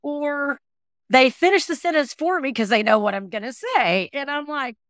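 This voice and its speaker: A woman's voice: high voice, normal speed, a bit bright, no echo, clean studio sound. Talkative woman in her sixties. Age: 60s. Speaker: interview guest, adoptee advocate. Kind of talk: conversational personal storytelling.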